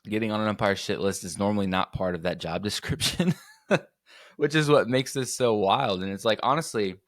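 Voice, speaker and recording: A man with a slightly hoarse voice hosting a casual sports podcast, rambling and correcting himself as he goes. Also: on the low side, normal speed, no echo, studio quality. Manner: rambling, self-correcting, chatty. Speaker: podcast host.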